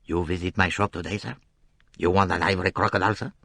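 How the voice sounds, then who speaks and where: A man voicing a suave male shopkeeper with a smooth, persuasive manner. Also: low voice, steady tone, fast talker, fairly bright tone, dry recording, heavily acted. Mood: coaxing.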